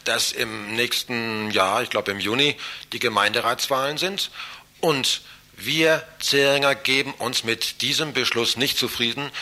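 A man's voice: mid-range voice, sharp tone. A man activist talking informally in an interview, rambling and searching for his words. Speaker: campaign group activist interviewed.

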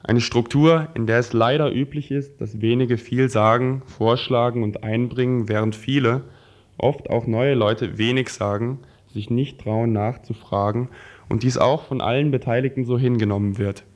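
A man's voice: medium pitch, fairly even intonation, moderate pace, a little mellow. A man reading prepared political texts aloud in a scripted radio style.

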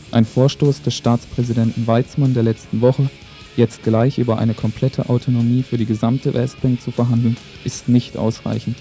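Male news commentator, very mellow dark tone, flat and sober scripted read, music underneath. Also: mid-range voice.

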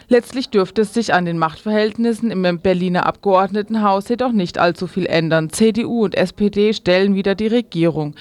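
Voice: medium pitch, dry recording. A radio newsreader delivering a scripted news report.

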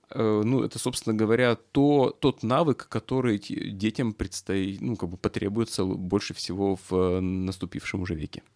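A middle-aged man from Russia giving spontaneous political commentary, often searching for his words and restarting phrases. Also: medium pitch. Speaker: political commentator, former local political activist.

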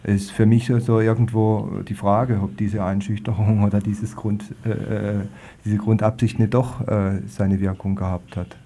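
A man's voice: on the low side, flat delivery, normal speed, mellow. A man hosting a radio discussion, searching tentatively for his words. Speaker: radio host.

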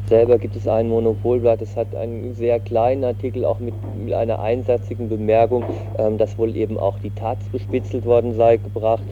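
A man working as a radio interviewer, asking news questions in a halting, informal way. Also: medium pitch, little pitch movement. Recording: muffled, faintly noisy radio recording.

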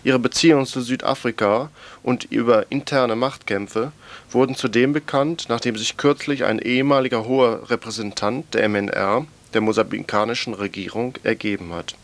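A man presenting radio news, reading scripted political reports and programme notes aloud. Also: mid-range voice.

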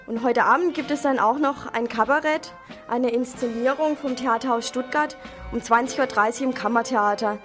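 A woman's voice: on the high side, normal speed, soft background music. A woman presenting local radio news in an informal style, addressing listeners directly.

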